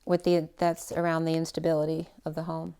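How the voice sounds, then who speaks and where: A woman's voice: low voice, monotone, a little fast, dark tone, clean tone. A woman giving a casual tarot reading who is losing her voice. She thinks aloud, trails off and restarts her sentences. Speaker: tarot reader.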